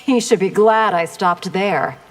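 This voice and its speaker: An actress voicing a proud, disciplined army commander in a fighting video game, haughty, cool and formal. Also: female voice, mid-range voice, a little slow, in a large hall, loud music behind the voice, close to an everyday voice. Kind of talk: video game character acting.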